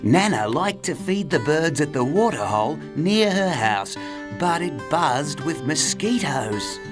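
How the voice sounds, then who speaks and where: A woman's voice: low voice, sing-song, a little slow. A woman narrating a scripted children's story as a storyteller. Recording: guitar music behind narration.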